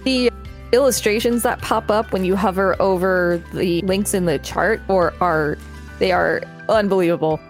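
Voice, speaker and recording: A woman's voice: medium pitch, normal speed, soft background music. A woman co-hosting a podcast, reading an article aloud with casual asides.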